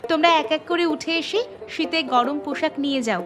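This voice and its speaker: A woman's voice: high-pitched, brisk, loud music behind the voice, lightly acted. A woman voicing a kind, earnest young village woman in a cartoon, speaking politely. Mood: earnest, announcing. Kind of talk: Bengali cartoon voice acting.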